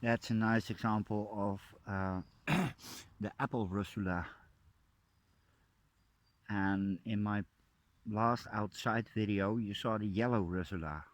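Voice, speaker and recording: Dutch guy talking slow and low in the woods, goes hushed close up. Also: no echo. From Netherlands. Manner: soft-spoken, drops to a hushed near-whisper up close. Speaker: nature vlogger. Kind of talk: casual narration while foraging. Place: forest, outdoors.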